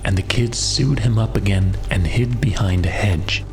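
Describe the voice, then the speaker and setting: Low-pitched man narrating a fairy tale. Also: normal speed, darkish tone, faint music behind, low-quality recording. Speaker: audiobook narrator.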